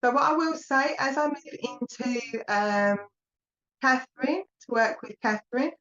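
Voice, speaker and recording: A woman with an Essex accent giving a live psychic reading, chatty and rambling, talking warmly to viewers by name. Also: high-pitched, measured pace, muffled recording. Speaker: psychic medium. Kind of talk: live online mediumship reading.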